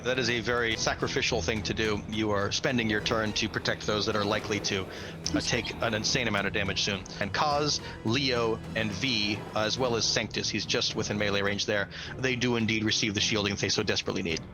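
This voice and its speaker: A man's voice: fairly low pitch, steady tone, brisk, rough recording. A man working as game master, narrating a tabletop game with an enthusiastic, slangy and dramatic delivery. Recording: music behind the voice. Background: background music.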